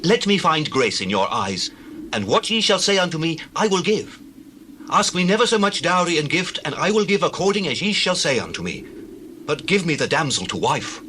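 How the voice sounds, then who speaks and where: An impassioned ancient tribesman declaims in a Bible drama. His highish, sharp voice leaps dramatically up and down with great emphasis. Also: very animated, normal speed, a little hiss or hum, rough recording. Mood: pleading. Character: impassioned male suitor or brother in ancient times. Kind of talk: dramatized scripture reading.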